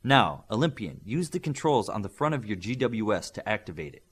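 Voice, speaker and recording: A man voicing a stern military-style trainer in an audiobook, giving brisk, clipped orders. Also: lowish voice, big pitch swings, husky, exaggerated voice. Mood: commanding.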